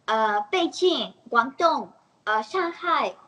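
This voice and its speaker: A teenage girl from the United States talks in a fairly high voice, halting now and then as she hunts for the right word. She chats casually in an interview and giggles between phrases. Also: lively intonation, pushed and bright, soft background music. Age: teens. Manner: halting, searching for words, giggly. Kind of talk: casual multilingual chat.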